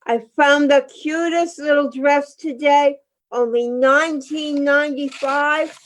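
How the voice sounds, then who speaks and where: A woman's voice: high voice, fairly even intonation, a little slow. A woman playing an anxious, naive wife in a radio play, speaking hesitantly. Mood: chatty, uneasy. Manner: hesitant, fretful, wide-eyed.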